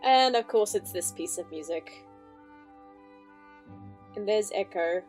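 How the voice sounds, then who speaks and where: A woman gives casual reaction commentary at a slow, unhurried pace. Her remarks come as low-key, murmured asides at a middling pitch, with faint music behind her. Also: expressive, a little mellow.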